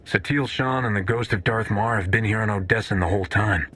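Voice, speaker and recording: An actor playing a grave, guarded resistance leader in a video game, with a husky, serious delivery. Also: male voice, fairly low pitch, brisk, a bit bright. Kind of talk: video game cutscene acting.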